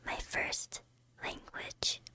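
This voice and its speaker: A woman whose first language is Korean, streaming in soft unvoiced whispers, chatting hesitantly with many ums on a close microphone. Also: extremely slow. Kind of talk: whispered ASMR chat with chat readers.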